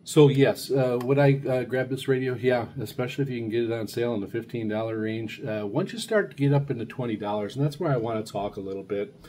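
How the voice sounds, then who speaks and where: A man giving a casual, unscripted product review as a video host, rambling haltingly and often stammering over repeated words. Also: mid-range voice. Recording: steady background noise.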